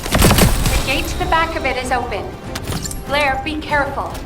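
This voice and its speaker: Echoey and distant under very loud music, a woman acts as a game mission operator. She is polite, businesslike and high-pitched. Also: normal speed, lightly acted. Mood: cautioning. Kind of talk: video game voice acting.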